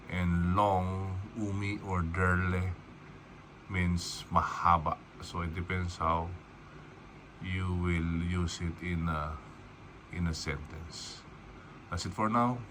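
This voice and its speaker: A man, a Tagalog speaker, calmly going through vocabulary word by word and spelling some of them out. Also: sits low, a little hiss or hum. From Philippines. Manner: unhurried, word-by-word, spells words out. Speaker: language teacher. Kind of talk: casual vocabulary lesson.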